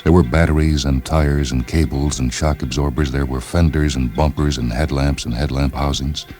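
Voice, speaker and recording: A man narrating a science fiction short story in a measured, literary storytelling manner. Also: very deep voice, steady tone, darkish tone. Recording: music behind the voice.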